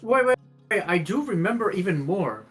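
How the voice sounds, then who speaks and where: A man's voice: high voice, animated, normal speed, a bit bright, faint music behind. A man playing a tabletop roleplaying game, casually recounting what happened in the game.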